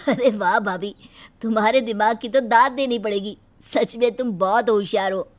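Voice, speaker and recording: Woman voicing a cowardly son, cartoon, stammering, nervous, slightly high. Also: steady tone, moderate pace, natural voice. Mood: callous.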